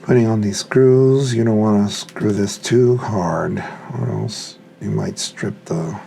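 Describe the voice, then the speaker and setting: A man's voice: on the low side, slow pace, vocal fry, dark tone, a little hiss or hum. A man giving casual hands-on unboxing commentary, muttering to himself as he works with his hands. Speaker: hobbyist reviewer.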